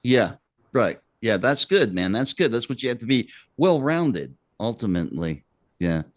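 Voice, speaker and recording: A man's voice: mid-range voice, sing-song, normal speed, no room sound. A man interviewing a band, casually encouraging and often calling guests "man". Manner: affirming, says "man" often.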